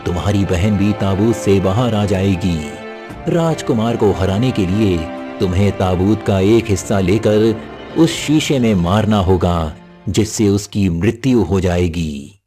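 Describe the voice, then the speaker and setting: A man's voice: lowish voice, unhurried, mellow, faint music behind, natural voice. A man voicing a wise, solemn old hermit in a cartoon moral story, grave and instructive.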